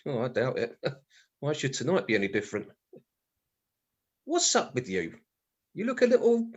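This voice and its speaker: Radio comedy: sardonic British husband, husky, swinging widely in pitch, prone to grumbles and exclamations. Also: male voice, huge pitch swings, moderate pace, highs cut off. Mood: wry, teasing. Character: grumbling, wry British husband, bored amateur stargazer. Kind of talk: radio play comedy acting, muttered monologue.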